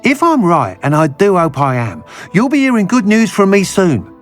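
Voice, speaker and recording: An earnest broom inventor in a fantasy game. His eager voice swings very widely in pitch, with faint music behind him. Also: wildly swooping pitch, moderate pace. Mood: excited, grateful. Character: enthusiastic male broom maker, earnest inventor. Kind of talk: video game character dialogue.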